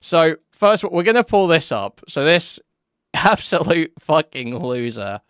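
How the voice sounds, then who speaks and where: A man's voice: on the high side, big pitch swings, slow pace, a bit bright, clean tone, no room sound, studio quality. A young man doing online drama commentary, mocking and crude, chuckling at his own jabs. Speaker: commentary YouTuber.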